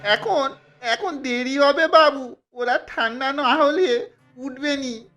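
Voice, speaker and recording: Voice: high voice, slow, sharp tone, soft background music, exaggerated voice. An actor playing a humble village buffalo-cart driver, pleading and deferential, in a cartoon. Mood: defensive pleading. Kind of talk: cartoon voice acting.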